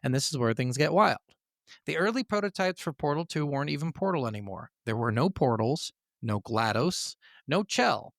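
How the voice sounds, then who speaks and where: A man's voice: mid-range voice, lively intonation, normal speed, gravelly, a bit bright, dry recording, clean studio sound. A man co-hosting a gaming podcast, moving between narration and casual, blunt, sweary chat. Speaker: podcast co-host.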